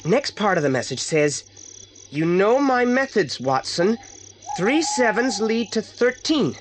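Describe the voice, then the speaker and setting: A man's voice: sits high, big pitch swings, unhurried, a little hiss or hum. An actor playing a clever, bossy boy detective in an audio drama, precise and commanding. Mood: studious. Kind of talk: 1980s British audio drama.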